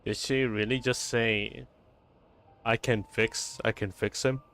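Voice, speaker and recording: A man's voice: medium pitch, steady tone, takes it slowly, a bit bright. A young man who streams games, reacting casually in slangy, sweary talk with stammers. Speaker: game streamer. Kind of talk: casual joking live reaction.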